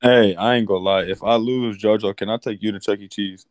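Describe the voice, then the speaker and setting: A man's voice: on the low side, steady tone, a little fast, dry recording. A young man from Detroit on an online call, joking in laid-back slang. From Detroit, USA. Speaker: caller on a stream. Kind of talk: casual online call, trolling. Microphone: online call mic.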